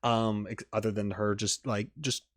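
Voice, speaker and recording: A man's voice: lowish voice, fairly even intonation, dry recording. Dry-recorded man giving rambling casual commentary. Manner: rambling, halting, repeats words as thinking aloud. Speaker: YouTube reaction host. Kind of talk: casual off-the-cuff video commentary.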